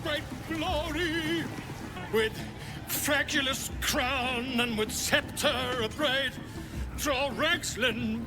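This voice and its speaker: An actor voicing a captive bard who sings theatrically and stammers nervously. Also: male voice, extremely slow, over music, full character voice. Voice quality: high, theatrical sing-song delivery with nervous stammering. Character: captive bard forced to sing flattery, flustered and theatrical.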